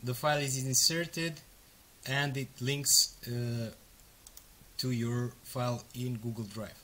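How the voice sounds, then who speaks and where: A man in his thirties, a native Romanian speaker, casually walking through a software tutorial step by step with frequent ums and uhs. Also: mid-range voice, steady tone, takes it slowly, a little hiss or hum. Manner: casual, explains step by step with fillers. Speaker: software demo presenter. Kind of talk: screen-recorded tutorial walkthrough.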